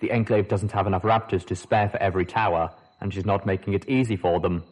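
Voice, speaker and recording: A man doing a flat mechanical robot speaker. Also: low-pitched, fast talker. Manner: mechanical monotone. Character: male helper speaking through a sprite robot.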